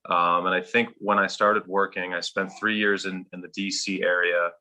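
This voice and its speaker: A man's voice: deep voice, flat delivery, normal speed, pushed and bright. A man in his thirties from Minnesota, with a faint Minnesotan accent, telling his story as a golf pro in a casual interview. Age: 30s. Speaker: golf professional guest.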